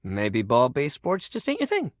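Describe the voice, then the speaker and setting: A man's voice: medium pitch, huge pitch swings, moderate pace, dry recording, studio quality. An actor playing an upbeat, friendly but sensitive young male sports jock in a video game, talking in casual, emphatic bro speak. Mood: hurt, pleading. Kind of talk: video game character dialogue.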